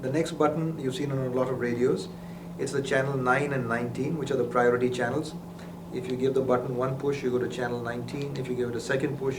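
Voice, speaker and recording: A man walks through a product's functions step by step in a methodical, explanatory way. His voice sits a little away from the microphone in a roomy space. Clear noise runs behind him. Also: medium pitch. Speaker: product demonstrator. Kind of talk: unscripted instructional product walkthrough. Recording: roomy, distant mic with clear background noise.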